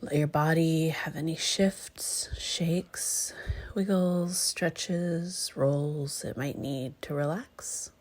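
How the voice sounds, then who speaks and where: A woman leading a guided meditation in a hushed, breathy, gentle and unhurried way, leaving long pauses between phrases. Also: low-pitched, fairly even intonation. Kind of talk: guided meditation, slow and soothing.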